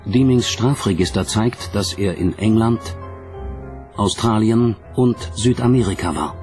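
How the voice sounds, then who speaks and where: A man narrating a documentary from a script in a measured, dramatic storytelling way. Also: lowish voice. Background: background music.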